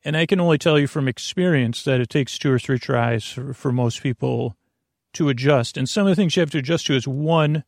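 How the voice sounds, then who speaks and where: A man with a creaky voice hosting a podcast in a meandering, halting monologue, often wandering off on tangents and interrupting himself. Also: mid-range voice, dry recording, clean studio sound. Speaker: podcast host. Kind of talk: rambling bedtime podcast monologue.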